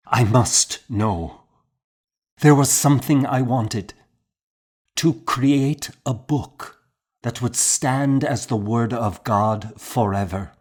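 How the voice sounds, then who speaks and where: A man's voice: mid-range voice, voice pushed up. Man acting out an anguished, pleading monk, voice raised. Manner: pleading, emotional, emphatic. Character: anguished male monk confessing, pleading and desperate. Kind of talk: audiobook character acting.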